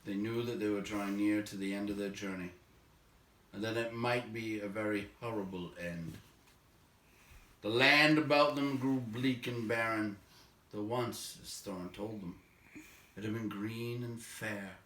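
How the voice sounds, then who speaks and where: A man narrating a story aloud in a measured, expressive way, letting phrase endings fall away quietly. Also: lowish voice. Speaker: audiobook reader, narrator. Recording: roomy echo, distant microphone.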